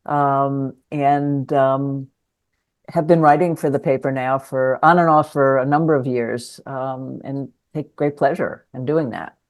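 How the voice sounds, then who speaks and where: An older woman from New York City, a lawyer and writer, answering interview questions in a thoughtful, hesitant way with many pauses. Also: a bass voice, fairly even intonation. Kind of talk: conversational interview.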